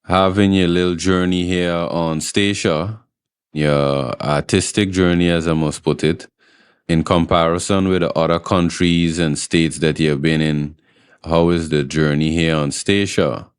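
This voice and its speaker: A man's voice: low-pitched, fairly even intonation, measured pace, clear, resonant tone, no echo, studio quality. A man interviewing a guest, putting questions in a conversational style.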